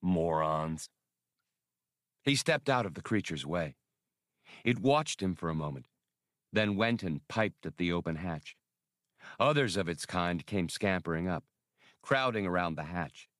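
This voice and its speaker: Male narrator for adventure audiobook: lowish register, scripted storytelling read, tight dry studio sound. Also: expressive, a little slow, pauses often, a bit bright, dry recording.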